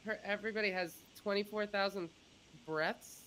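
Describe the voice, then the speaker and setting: A woman's voice: mid-range voice, steady tone, normal speed, a bit bright, slight background noise, poor audio. A woman in her thirties hosting a casual chat on a video call. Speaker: host.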